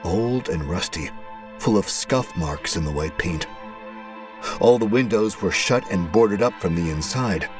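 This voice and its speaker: Low-pitched man narrating a horror story. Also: lively intonation, measured pace. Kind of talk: scripted first-person horror narration. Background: music.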